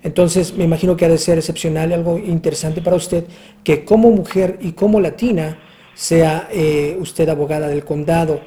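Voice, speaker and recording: A mellow, relaxed interviewer with a mid-pitched voice, asking long questions at a measured pace and hesitating with fillers along the way.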